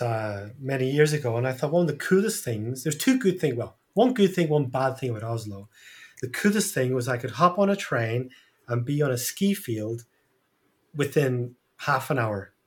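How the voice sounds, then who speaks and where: A man co-hosting an interview podcast, chatting in an upbeat, easygoing way. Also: mid-range voice. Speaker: podcast co-host. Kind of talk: casual chat.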